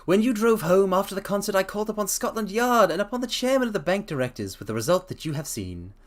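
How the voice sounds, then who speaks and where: Guy reading as a clever laid-back detective laying out clues, bit high, lots of swing. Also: sing-song, fast talker. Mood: explaining. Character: brilliant, languid male detective explaining deductions. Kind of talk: dramatic reading.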